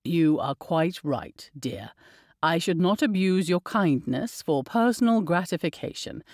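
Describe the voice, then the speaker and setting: A woman reading an audiobook, voicing a young gentleman husband with a low, dry, playfully teasing manner. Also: lively intonation, unhurried, no echo. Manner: low, drawling, wry teasing.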